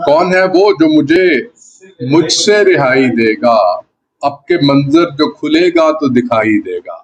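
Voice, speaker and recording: High-voiced man slowly reciting Urdu poetry. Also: expressive, lo-fi sound. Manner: deliberate, repeats lines for emphasis. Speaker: poet. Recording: clear background noise.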